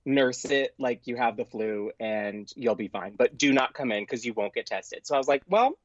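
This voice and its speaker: A man's voice: medium pitch, lively intonation, normal speed. A young man chatting casually and effusively as a podcast guest, often saying "like", calling in remotely. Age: young adult. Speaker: podcast guest, actor and comedian. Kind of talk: casual chat. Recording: dull lo-fi remote call.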